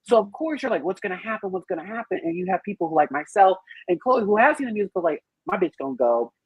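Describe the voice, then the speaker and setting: A woman with a husky voice and a mid-range pitch talks as a panelist in a casual group discussion. She is playful, slangy and emphatic. The sound is very dry, with no room around it. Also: lively intonation, fast talker, dry recording, muffled recording. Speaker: podcast panelist reviewing a film musical.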